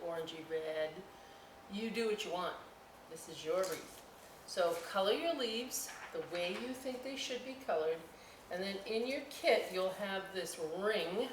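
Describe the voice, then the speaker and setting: A woman hosting a children's storytime, reading aloud and explaining crafts with folksy, chatty, expressive asides to young listeners. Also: on the low side. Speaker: library storytime host. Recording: roomy echo, distant mic, faint noise.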